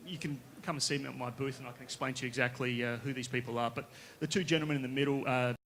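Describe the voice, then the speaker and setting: A man from Western Australia with an Australian accent, giving a conference pitch as a company founder, casual and conversational, often checking in with the audience. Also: steady tone, fast talker, a bit bright. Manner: casual, conversational, with frequent tag questions. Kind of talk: conference presentation. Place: conference stage.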